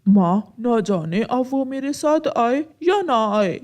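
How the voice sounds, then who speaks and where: A woman's voice: fairly high pitch, lively intonation, slow, a little mellow. A woman voicing a young male husband bird in a cartoon, with a curt, complaining manner. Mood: subdued.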